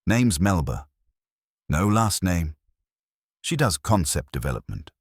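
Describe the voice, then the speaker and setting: A man's voice: low voice, sing-song, slow pace, husky, no room sound, studio quality, pitched above the performer's own voice, heavily acted. Offhand kitchen worker, read by a man. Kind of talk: audiobook dialogue.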